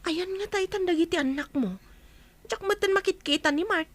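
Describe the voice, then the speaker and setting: A woman's voice: extremely high pitch, moderate pace, no echo. A woman with an Ilocano Filipino accent playing an inquisitive, frank friend in a radio drama. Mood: concerned.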